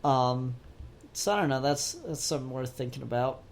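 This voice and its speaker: A man's voice: mid-range voice, lively intonation, moderate pace, creaky. Man chatting casually on a podcast. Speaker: podcast co-host. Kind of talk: casual discussion of comics and TV.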